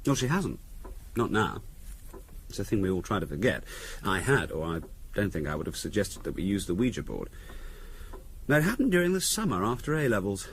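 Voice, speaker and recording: An actor playing a wry, teasing middle-class English husband in a 1970s radio drama, conversational with deliberate pauses. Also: male voice, lowish voice, big pitch swings. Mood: grave reminiscing. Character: teasing middle-class husband, dryly morbid, provocatively theorising. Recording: faint hiss on 1970s radio recording.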